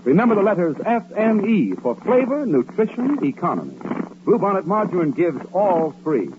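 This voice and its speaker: An American man reading a 1940s radio advertisement with an emphatic, measured sales delivery. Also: sits high, big pitch swings. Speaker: commercial announcer. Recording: tinny, noisy old radio recording.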